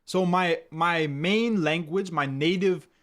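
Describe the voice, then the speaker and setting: A young Canadian man hosting a live match watchalong and reading chat, in loose slangy talk that often repeats himself and keeps saying "bro". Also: slow pace. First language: English. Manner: bursts loud and high when goals go in. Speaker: watchalong streamer.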